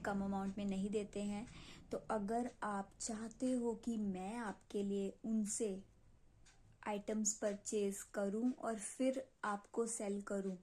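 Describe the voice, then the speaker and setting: Mellow young North Indian woman chatting. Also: medium pitch. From Punjab, India. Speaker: video creator selling clay. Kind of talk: casual chat to subscribers, Hindi mixed with English.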